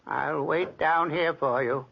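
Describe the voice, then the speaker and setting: An actor playing a furtive, greedy accomplice in murder, creaky and slow, in a 1940s radio drama. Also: male voice, on the high side, full character voice. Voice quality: creaky, raspy. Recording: muffled vintage 1940s radio recording.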